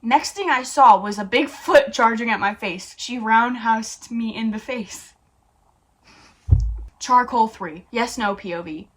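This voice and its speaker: A woman's voice: fairly high pitch, a little slow, poor audio. A woman hosting a comedic read-aloud, playful and mock-dramatic, narrating a story and dropping in her own asides. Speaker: YouTube host.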